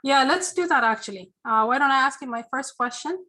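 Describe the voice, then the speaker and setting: A woman's voice: high voice, lively intonation, fairly quick, sharp tone. A woman hosting a webinar over a video call, welcoming her guest in a friendly way with plenty of fillers. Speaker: host. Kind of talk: webinar moderation.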